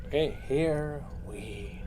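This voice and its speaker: A man's voice: fairly high pitch, drawn-out words. A man chatting casually as a player in a tabletop role-playing game. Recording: clearly noisy background.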